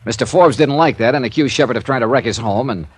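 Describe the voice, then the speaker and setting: An actor playing a tough, wry American insurance investigator in 1950s radio style, dry, blunt and clipped. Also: male voice, mid-range voice, fast, breathy edge, no room sound, natural voice. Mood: frank, dry. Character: tough, wry freelance insurance investigator, male, narrating his cases. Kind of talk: 1950s radio detective acting, clipped hard-boiled narration.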